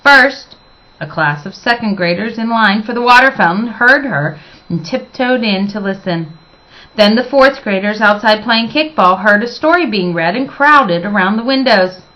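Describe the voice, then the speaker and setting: A woman reading a children's storybook aloud as expressive narration, with dramatic, emphatic phrasing. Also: medium pitch, moderate pace, lo-fi sound.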